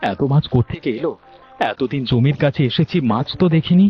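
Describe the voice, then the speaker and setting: A man's voice: fairly high pitch, a little fast, highs cut off, faint music behind, natural voice. A man voicing an earnest younger village brother in a Bangla cartoon, with a plain-spoken delivery.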